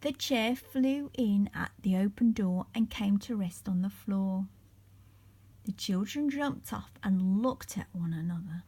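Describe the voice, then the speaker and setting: A woman reading a children's bedtime story aloud as an expressive storyteller. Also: medium pitch, unhurried, breathy edge.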